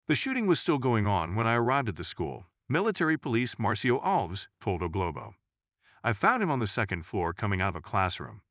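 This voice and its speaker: A man narrates a scripted news report in a fairly low voice. His intonation moves widely up and down as he reads. The recording is very dry, with no room sound. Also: fairly bright tone, no room sound.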